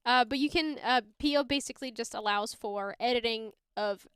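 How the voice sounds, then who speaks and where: A woman's voice: a little high, brisk, fairly bright tone, clean tone, no echo, studio quality. A woman from Sweden, a native Swedish speaker, chatting casually and ramblingly as she hosts a livestream tutorial, often self-deprecating. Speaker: livestream host teaching game mods.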